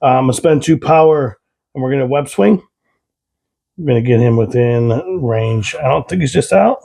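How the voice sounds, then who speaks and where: A man narrates his tabletop game turn in a casual way, calling out moves and dice results. His voice is fading and hoarse, with a gravelly rasp and a dark, mellow tone. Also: mid-range voice, moderate pace. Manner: calls out moves and dice rolls plainly. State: losing his voice. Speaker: host.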